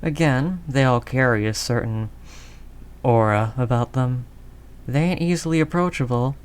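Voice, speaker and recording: A woman playing a tough, doting mafia heiress girlfriend in an intimate audio roleplay, low-voiced, talking in affectionate slangy tough talk. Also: darkish tone, slight background noise. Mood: fond, teasing.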